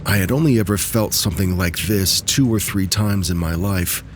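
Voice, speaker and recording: A man with a very low voice reads eerie first-person stories in a scripted, measured way, easing his pace whenever the suspense builds. A faint hiss sits under the recording. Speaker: narrator of submitted stories. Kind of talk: scripted narration of true spooky stories.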